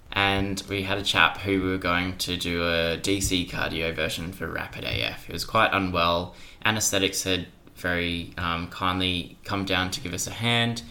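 Bright, low-pitched young man. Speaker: podcast guest, junior doctor. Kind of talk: casual anecdotal storytelling.

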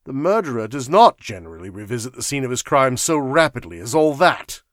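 A man voicing an impatient gentleman investigator in a storybook, questioning emphatically and in exasperation. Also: medium pitch, sing-song, normal speed, no room sound, clean studio sound, voice pushed up. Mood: astonished.

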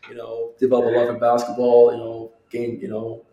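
A man's voice: mid-range voice, completely flat delivery, brisk, warm tone, echoey room, highs cut off. A man in his thirties from Jamaica, speaking casually as an athlete being interviewed, often repeating phrases and using verbal tags. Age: 30s. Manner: repetitive, peppered with "you know". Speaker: football player, interview guest.

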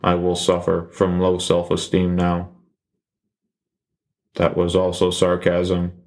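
A cold killer who speaks in a dead-level monotone, played by a man. His voice stays low and slow and never rises, delivering every threat with flat robotic calm. It is deadpan to the core. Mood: flat menace.

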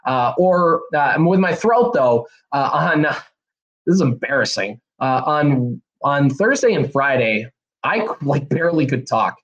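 A young man, hosting a solo podcast in a rambling, energetic way, a bit hoarse and nasal from a cold. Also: mid-range voice, measured pace, highs cut off. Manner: rambling, energetic, many fillers and restarts. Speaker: podcast host. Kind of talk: casual solo podcast talk.

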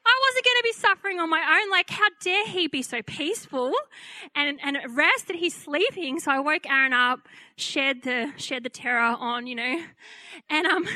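A woman preacher with a high, bright voice tells her story with lively, animated delivery. She slips into the voices of the people in her story as she goes. The sound is close and dry. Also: no echo. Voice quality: fairly high-pitched. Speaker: pastor. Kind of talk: testimony sermon.

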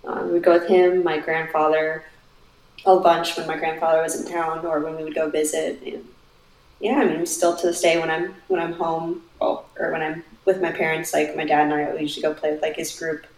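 Young woman, hesitant casual guest, a bit low, roomy echo. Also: flat delivery, a little fast, a little mellow. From Minnesota, raised in Virginia. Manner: hesitant, many fillers. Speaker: guest, golfer. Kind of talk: casual podcast chat.

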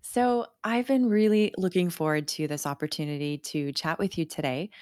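Low-voiced woman podcast host, dry sound. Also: normal speed, a little mellow, smooth, no echo. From South Africa. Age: 30s. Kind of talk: scripted introduction then casual interview.

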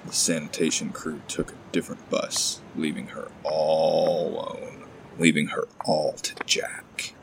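Horror narrator: man, extremely low gravelly voice, measured and ominous, noticeable background noise. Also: low-quality recording. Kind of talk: scripted horror story narration.

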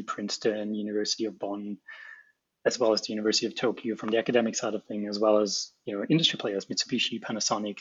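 A man's voice: on the low side, a bit bright, breathy edge, dry recording, lo-fi sound. A middle-aged man from Austria with an Austrian accent, a native German speaker, explaining his work as a guest in an interview. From small Alpine town in Austria. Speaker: guest, robotics researcher and company founder.

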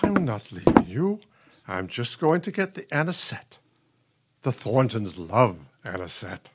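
An actor playing a patient, nostalgic older husband in a radio play, gentle and reflective. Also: male voice, medium pitch, sing-song, measured pace, very noisy. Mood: soothing. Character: patient, nostalgic elderly husband, soothing and wistful.

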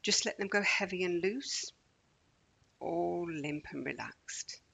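A woman leading a children's guided relaxation in a gentle, soothing, measured manner with deliberate pauses. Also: fairly low pitch, vocal fry, husky. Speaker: meditation guide.

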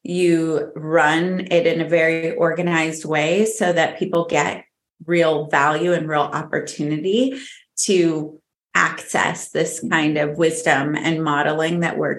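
A woman's voice: sits low, a bit bright, roomy sound. A woman who is a guest on a podcast interview, talking fluently and upbeat and laughing often. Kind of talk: casual interview.

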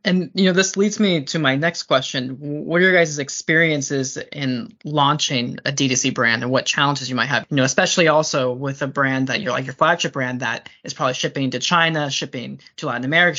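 A man hosts a conversational interview in a medium-pitched voice. His tone is bright and forceful, and he is affirming toward his guests. The recording sounds a little dull and lo-fi. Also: steady tone, moderate pace. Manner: affirming, interjects short reactions. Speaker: podcast host, interviewer. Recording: dull, muffled lo-fi audio.

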